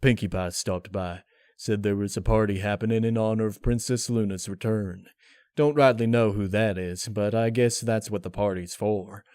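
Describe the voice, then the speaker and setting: Man doing a low folksy farm stallion. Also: fairly even intonation, moderate pace, a little mellow, clear, resonant tone, no room sound, studio quality, natural voice. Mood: gentle, plain. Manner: folksy, plain-spoken. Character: kindly plain-spoken big farm stallion, protective older brother.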